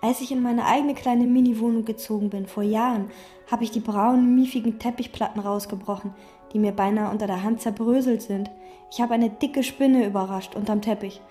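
An actress playing an obsessive, lonely young chambermaid who speaks in terse, intense lines and inner monologue in a radio drama. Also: female voice, fairly high pitch, steady tone, brisk, mellow, faint music behind, lightly acted. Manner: terse, intense, sometimes whispered.

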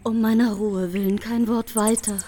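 A woman's voice: fairly high pitch, fairly even intonation, moderate pace, dark tone, slight background noise, lightly acted. A woman playing an anxious, restrained noblewoman in a radio drama. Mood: anxious, urgent. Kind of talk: German radio drama acting.

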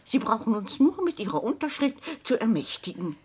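A woman's voice: fairly high pitch, very animated, a little fast, a little mellow, breathy edge. An older woman playing a theatrical, imperious consul's widow who schemes with mock gentility in a radio drama. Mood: solemnly businesslike. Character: theatrical old consul's wife, grandly scheming proprietress. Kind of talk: German radio drama acting.

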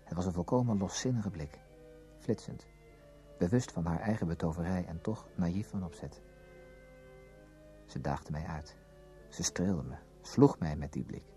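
A man acting in a radio play as a brooding, probing former student who tells his story in a measured, reflective way. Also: deep voice, mellow, faint music behind. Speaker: narrator and lead character in a radio play. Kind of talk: scripted radio drama, literary first-person narration.

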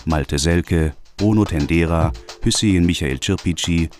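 A man reading radio drama credits as a formal, measured, neutral announcer. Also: low-pitched, a little mellow, faint music behind.

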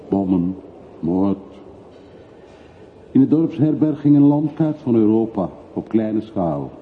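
An actor playing a reserved, reflective middle-aged emigrant returning home in a radio play, speaking in a measured, reticent way. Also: male voice, lowish voice, lightly acted. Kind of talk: radio drama acting. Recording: muffled, noisy old radio play recording.

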